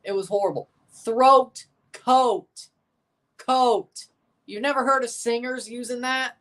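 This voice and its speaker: A woman's voice: fairly high pitch, fairly even intonation, takes it slowly, fairly bright tone. Woman hosting a casual soccer watchalong. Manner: repeats and corrects herself. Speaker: livestream watchalong host. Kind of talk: casual live football commentary and chat with viewers.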